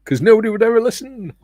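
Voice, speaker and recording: A middle-aged man hosting a relaxed livestream, reading viewer comments aloud with a soft, soothing, self-deprecating manner and frequent laughs. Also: very high-pitched, no room sound, clean studio sound. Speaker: livestream host.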